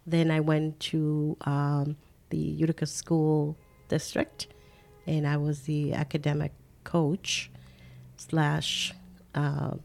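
Older lady from the caribbean, low gravelly voice, takes her time with lots of ums. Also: completely flat delivery, a little mellow, soft background music. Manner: unhurried, halting with fillers. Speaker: guest. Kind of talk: casual interview chat.

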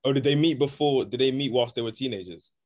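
A man's voice: medium pitch, fast talker, a little mellow. A young man hosting a casual conversational interview.

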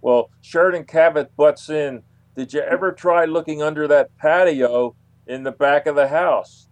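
A man speaking as an interview guest, recounting anecdotes casually in a halting, hesitant way with long pauses. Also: fairly high pitch, muffled recording.

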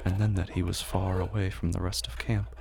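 Male horror podcast narrator, low register, intense and deliberate delivery, musical bed with plucked strings behind. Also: little pitch movement, vocal fry, music in the background. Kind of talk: scripted dramatic horror narration.

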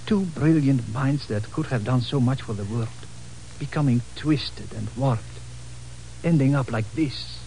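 A man playing a courteous, sombre foreign colonel who speaks in formal, deliberate phrases in a 1950s radio drama. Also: medium pitch, mellow, lightly acted. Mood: sorrowful. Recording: noisy, clicking vintage radio recording.

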